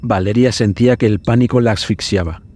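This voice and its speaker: A man narrating a scripted suspense horror story for a podcast in a dramatic, measured way. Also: lowish voice, warm tone. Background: background music.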